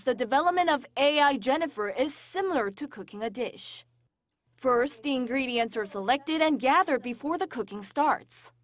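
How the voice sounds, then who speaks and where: A woman narrating a news feature in a crisp, measured broadcast read. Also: high voice, a bit bright. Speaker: reporter narrator.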